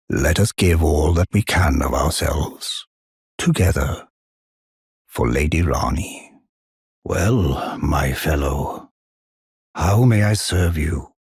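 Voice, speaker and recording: A man voicing a gentle, wise giant blacksmith and war counselor in a fantasy game, speaking in a courteous, solemn, formal way with a deep, husky, gravelly voice. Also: dry recording, clean studio sound, heavily acted. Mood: courteous, earnest. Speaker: video game character. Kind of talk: scripted fantasy game dialogue.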